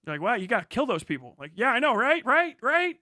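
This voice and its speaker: An American man delivering an impassioned, profane livestream rant, forceful and emphatic, slipping into imagined dialogue as he goes. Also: very high voice, very animated, fast talker, dry recording, clean studio sound. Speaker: streamer.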